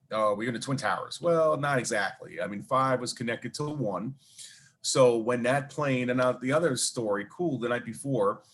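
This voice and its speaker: A man with a Brooklyn accent, a guest telling long stories in an interview, rambling with many fillers and asides. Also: mid-range voice. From New York. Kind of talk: casual storytelling interview.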